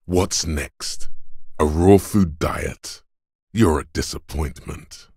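An actor voicing a gruff, domineering inner voice of brute strength in a video game, husky and rumbling, with scornful, deliberate drill-sergeant delivery. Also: male voice, a little mellow, no echo, studio quality, full character voice. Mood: scornful, goading. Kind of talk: video game character narration.

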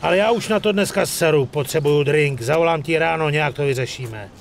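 A man's voice: a little high, vocal fry, slight background noise. An actor playing a hot-headed, foul-mouthed mobster in his thirties, with coarse, blunt delivery in a dubbed crime game. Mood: tense, weary. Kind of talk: dramatic dubbed video game acting.

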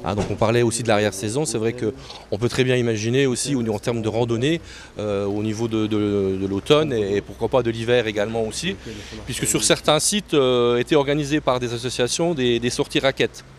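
An older man, a local official answering interview questions in a halting way, often repeating words. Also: mid-range voice. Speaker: local mayor and tourism official. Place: outdoors on a terrace above a town street. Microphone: handheld interview microphone held close. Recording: clear outdoor street noise.

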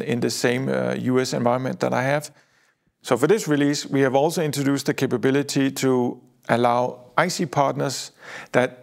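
A middle-aged man presenting new software features at a product launch as one of the presenters. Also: mid-range voice, vocal fry. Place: indoor video studio.

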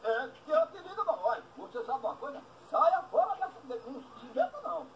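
A man playing a shrill cranky old backcountry man. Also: big pitch swings, dull audio, a little hiss or hum, exaggerated voice. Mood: cursing. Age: older. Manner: shrill, insulting, quick to anger. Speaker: comic character. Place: outdoors at a rural country house.

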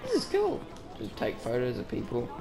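A man's voice: mid-range voice, huge pitch swings, moderate pace, crowd noise. A man giving casual, dry and sarcastic commentary while playing a video game. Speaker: gaming commentator. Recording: loud game audio and noise behind.

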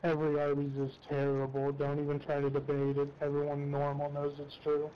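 Flat, blunt man on a dull line. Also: a little high, a little fast. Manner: blunt, opinionated. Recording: dull lo-fi audio, faint noise.

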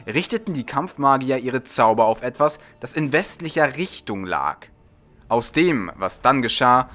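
A man's voice: medium pitch, fairly bright tone. Male audiobook narrator, mid-range voice, scripted fantasy storytelling. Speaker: audiobook reader.

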